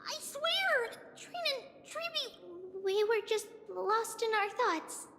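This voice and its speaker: A woman voicing a small, excitable, childlike girl in a video game, exclamatory and referring to herself by name. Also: extremely high pitch, slow, in a large hall, slight background noise, heavily acted. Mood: puzzled, unsure. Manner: refers to self in third person, exclamatory. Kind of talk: video game character acting.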